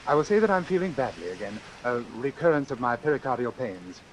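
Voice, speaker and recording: An actor playing a calculating, formal doctor who deliberately and persuasively arranges his own death in an old-time radio suspense drama. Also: medium pitch, big pitch swings, natural voice, rough recording. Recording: hissy vintage radio recording with rain. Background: hiss and falling rain.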